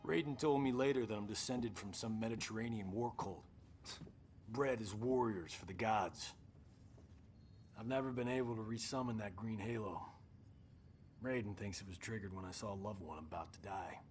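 A man's voice: fairly low pitch, normal speed, a little mellow, slight background noise, lightly acted. A man as a wry action hero. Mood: wry, commanding. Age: middle-aged. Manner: wry, sarcastic. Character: cocky, wisecracking veteran male fighter leading a team. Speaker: team leader. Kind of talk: video game cutscene acting.

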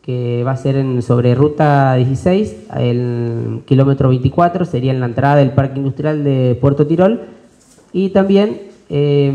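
Businessman, 30s, mellow, halting delivery, desk mic, dull recording. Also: medium pitch. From Argentina. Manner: halting, many fillers and restarts. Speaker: business owner presenting an expo. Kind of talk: press conference statement. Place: indoor conference room. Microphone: desk mic on stand.

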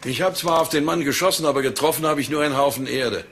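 A gravelly, haughty gentleman suspect. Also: a little high, fast, slight background noise. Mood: amused, condescending. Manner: curt, condescending, precise. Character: haughty, guarded orchid-loving gentleman, a crime suspect. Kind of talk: German crime drama dialogue.

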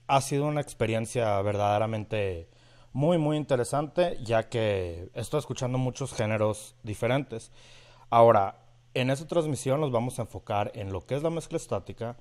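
A man from Mexico with a northern Mexican lilt hosts a live audio-mixing lesson from his home studio. He talks in a chatty way, greeting viewers by name. His voice is mid-pitched and picked up by a desk mic at his mixing station. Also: a little mellow. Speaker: audio engineer, livestream host. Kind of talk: live-streamed mixing tutorial.

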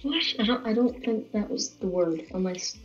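A woman's voice: medium pitch, animated, normal speed, music in the background. A woman teasing her friends casually over a game voice chat. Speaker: streamer's friend and co-player. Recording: dull, distant voice-chat audio.

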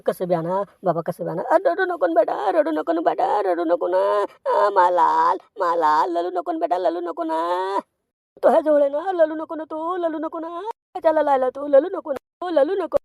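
Man playing a crying village father, gravelly voice, dry close sound. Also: high voice, fairly even intonation, unhurried, few pauses, no room sound. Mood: cooing. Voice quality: creaky, tearful wailing. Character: grieving young village father, wife fled. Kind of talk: village comedy skit acting.

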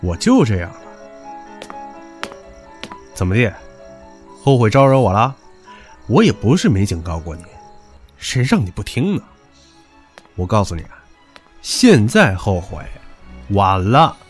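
Brief: a cocky, vulgar young man, low voice, very lively delivery, soft music behind. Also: a little slow, long pauses, slightly rough, full character voice. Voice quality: low, swaggering and crude. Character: brash, pushy young man, crude and teasing. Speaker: voice actor. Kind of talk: audio drama acting.

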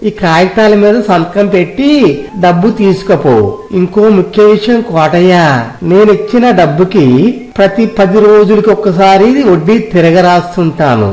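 A man's voice: high voice, a little mellow, close to an everyday voice. A man playing a miserly, scheming village moneylender in a story, wheedling and condescending with emphatic delivery. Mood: firm, bargaining. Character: miserly, scheming village moneylender husband, smooth-talking and greedy. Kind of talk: scripted Telugu moral story dramatization. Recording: roomy echo, music behind.

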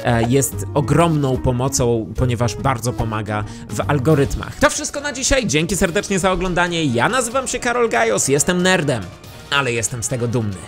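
A man's voice: mid-range voice, big pitch swings, normal speed. A man in his thirties presenting to camera as a YouTube host, speaking close into a studio microphone. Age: 30s. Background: music.

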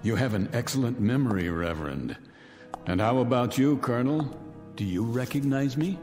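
A man's voice: medium pitch, expressive, moderate pace, darkish tone, close to an everyday voice. An actor playing a bitter, vengeful man who once was a disgraced soldier, taunting his guests with silky, theatrical menace in a radio drama. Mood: sardonic. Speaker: host of the gathering. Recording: reverberant radio drama with music.

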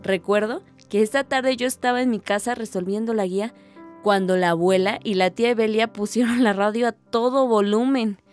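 A woman's voice: medium pitch, fairly even intonation, normal speed, a little mellow, faint music behind, natural voice. An actress playing a reflective young village woman narrating her story in a radio drama. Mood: storytelling.

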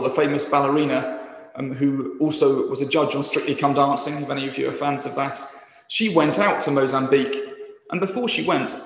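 A man's voice: fairly high pitch, creaky. A man speaking to a church as a charity's guest speaker, giving an appeal talk. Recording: echoey church hall.

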